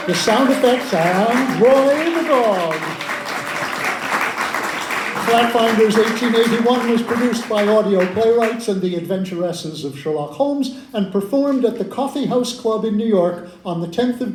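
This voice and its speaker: A man's voice: high voice, animated, normal speed, few pauses. A man reading scripted closing credits as an announcer and playwright. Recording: echoey, noisy room, crowd, distant mic.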